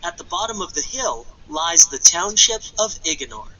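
An actor playing an earnest young apprentice mage in a video game, describing things with dry observation. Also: male voice, a little high, very animated, normal speed, dull audio, crowd noise. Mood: matter-of-fact. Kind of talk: scripted point-and-click game narration.

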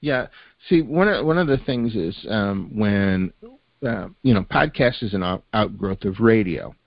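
Older man hosting a podcast, low voice. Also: unhurried, creaky, no room sound. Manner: relaxed, full of fillers like "you know" and "uh". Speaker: podcast host. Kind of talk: casual chat. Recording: dry, slightly dull podcast audio.

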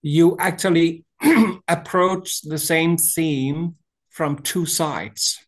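An older man from Denmark with a Danish accent, speaking English as his second language on a video call, halting with many restarts and throat clearing. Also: a little high. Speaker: author and voice teacher. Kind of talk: interview over video call. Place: small room indoors. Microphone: video call webcam.

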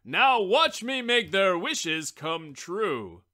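A strutting, self-important little wishbone in a storybook, declaiming loudly and talking down to everyone around him, as a woman reads him. His voice is bright and swings widely in pitch as he boasts. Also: sing-song, drawn-out words, heavily acted. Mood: eager. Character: pompous boastful male wishbone, small.

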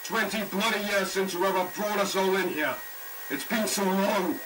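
A man's voice: high-pitched, normal speed, a loud crowd, close to an everyday voice, low-quality recording. A man playing an anguished young ship survivor held captive, pleading and agitated in an analog horror film. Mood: desperate.

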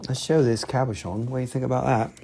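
A man's voice: medium pitch, steady tone, fast, creaky, mellow, a little hiss or hum. A man with a Southern US accent hosting a live mineral sales show, rambling and joking as he holds stones up to the camera. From southern United States. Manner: rambling, joking, cursing, playful bits of put-on accents. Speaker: live-stream mineral seller. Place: indoor room with a table of mineral specimens.

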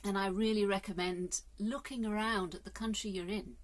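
A woman's voice: mid-range voice, fairly even intonation, moderate pace. A woman in her fifties from England, musing earnestly in a casual vlog, filmed on a phone held at arm's length inside a caravan. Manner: earnest, musing, with hesitant fillers. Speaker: vlogger. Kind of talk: casual reflective monologue. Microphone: phone camera at arm's length.

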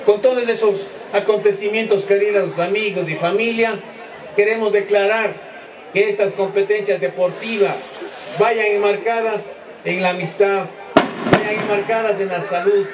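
A man's voice: high-pitched, steady tone, unhurried, distant mic. A middle-aged man giving an official public speech into a handheld mic on an outdoor stage. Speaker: mayor. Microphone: handheld microphone. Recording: echoey outdoor PA, loud crowd noise.

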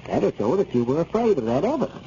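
An actor playing an exasperated, sensible country shopkeeper in a 1930s radio comedy, explaining and scolding. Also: male voice, fairly high pitch, big pitch swings, quick pace, warm tone. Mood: concerned. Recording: muffled, noisy 1930s radio recording.

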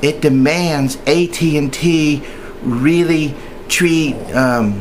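A man's voice: on the high side, takes it slowly, slight background noise. A man speaking as a union member in an informal training video, chatty and hesitant, rambling along and often repeating himself. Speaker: union member giving tips.

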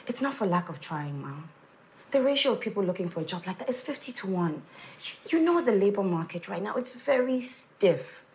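An actress with a Ghanaian accent playing a polite, educated young housemaid who speaks deferentially. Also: female voice, medium pitch, sing-song, brisk, darkish tone, slight background noise. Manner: polite and deferential, calls her employer Ma.

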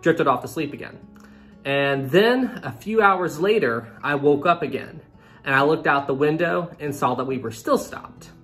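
A man's voice: fairly high pitch, moderate pace, faint music behind. Chatty young man recounting a trip. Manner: animated, conversational, addresses viewers directly. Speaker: travel vlogger. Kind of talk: storytelling to camera. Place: small room indoors.

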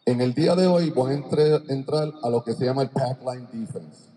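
A man's voice: medium pitch, warm tone. A man, a basketball coach from Ohio, lecturing at a seminar over a microphone in a large indoor arena. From Cleveland, Ohio, United States. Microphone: handheld microphone through a PA, far camera. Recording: long echo of a large gymnasium.

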